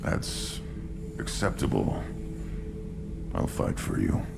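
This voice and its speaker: An actor voicing a young, hulking alien warrior in a video game, with a gravelly growl and blunt, halting, menacing speech. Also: male voice, very noisy, lightly acted. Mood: grudging respect. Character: young tank-bred male alien brute warrior, hulking, blunt, proud. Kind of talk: video game character acting. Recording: music behind.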